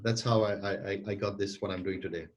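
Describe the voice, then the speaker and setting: A man in his forties speaks with a somewhat low voice. He answers interview questions earnestly over a remote call. He often repeats and restarts words as he goes. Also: fairly even intonation, fast. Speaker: guest entrepreneur and sales coach.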